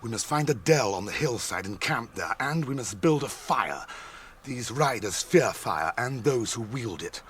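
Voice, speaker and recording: An actor playing a grave, watchful ranger guide in a radio drama, speaking in measured British English like a storyteller. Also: male voice, mid-range voice, expressive, a bit bright, slight background noise. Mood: firm.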